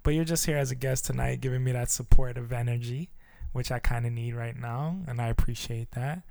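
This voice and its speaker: A man hosting his own podcast in a relaxed, casual chat, with lots of fillers and loose phrasing. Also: medium pitch, fairly quick, gravelly, no room sound. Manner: laid-back, filler-heavy, says "touch base" a lot. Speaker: podcast host.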